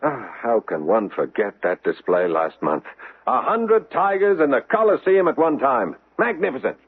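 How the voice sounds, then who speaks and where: Pompous, husky-voiced Roman patrician. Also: male voice, medium pitch, big pitch swings, normal speed, narrow, tinny audio. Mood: effusive. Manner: grandiose, effusive. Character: pompous, worldly male Roman guardian cousin. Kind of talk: theatrical radio drama acting. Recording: band-limited old radio recording.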